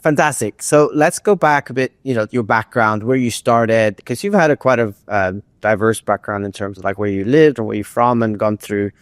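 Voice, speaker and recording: A man's voice: fairly low pitch, moderate pace, hardly any pauses, no room sound. A man hosting a podcast, reading an upbeat scripted intro and then asking interview questions. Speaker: podcast host. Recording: music bed under the voice.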